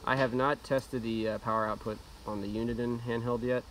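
A man's voice: medium pitch, level tone, a little fast, distant mic. A man giving a casual, hesitant, matter-of-fact product demonstration outdoors, as a gear reviewer. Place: outdoors at a patio table. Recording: outdoor, off-mic, loud radio static and noise. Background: CB radio chatter, static, clicks.

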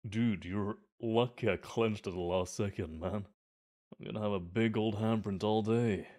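An eager, chatty gym bro, played by a man with a slightly low voice. He talks in an earnest, friendly way, explaining workouts in an ASMR roleplay. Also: steady tone, normal speed, a little mellow, close to an everyday voice.

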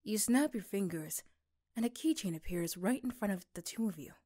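A woman's voice: mid-range voice, animated, moderate pace, warm tone. A woman reading scripted second-person narration for an ASMR roleplay story.

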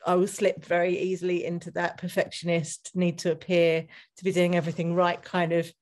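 A woman's voice: lowish voice, darkish tone. A young woman, a student, talking candidly and chattily in a group discussion on a video call. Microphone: video call webcam.

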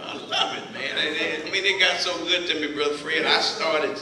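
A man's voice: steady tone, moderate pace, distant mic, crowd noise, noisy background. A middle-aged man from Texas with a Southern accent, preaching as a guest speaker with folksy, humorous storytelling. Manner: folksy, builds from low asides to loud emphatic listing. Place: church sanctuary, walking in front of the pulpit. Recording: echoey church, voice from afar. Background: congregation laughing and responding.

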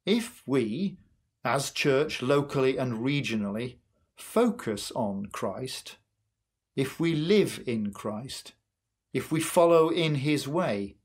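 A man in his sixties leading worship as a minister, reading a sermon and prayers in an earnest, measured way with deliberate pauses. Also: mid-range voice, breathy edge. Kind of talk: sermon and prayer, read from a script. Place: small curtained room at home, indoors.

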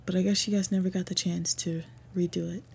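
A woman playing an earnest, respectful young orc princess in a fantasy roleplay audio, speaking softly and haltingly with tender pauses. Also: on the low side, level tone, creaky, breathy edge, exaggerated voice. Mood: wistful.

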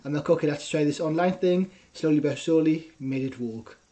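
A young man with an Israeli accent talks through a headset from a small room at home, his voice mellow and on the darker side. He tells his story earnestly, a guest on a casual interview, tagging his sentences with a questioning "right?". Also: a little high, normal speed. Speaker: guest, personal trainer.

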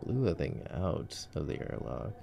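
A man doing an ASMR boyfriend roleplay, murmuring laid-back, casual movie commentary with long pauses. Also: very deep voice, huge pitch swings, gravelly, mellow, faint music behind. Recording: faint background noise.

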